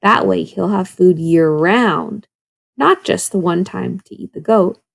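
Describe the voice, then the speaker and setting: A woman's voice: fairly low pitch, measured pace, gravelly. A woman speaking as a primary teacher, reading a children's story expressively and putting questions to young pupils. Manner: instructive, questioning asides to children. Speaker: second-grade teacher.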